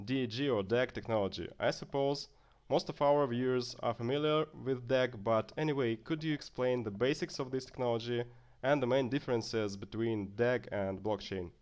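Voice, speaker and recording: A man's voice: mid-range voice, normal speed, hardly any pauses, darkish tone, dull audio. A man hosting an interview, introducing guests and putting questions to them. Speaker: interview host.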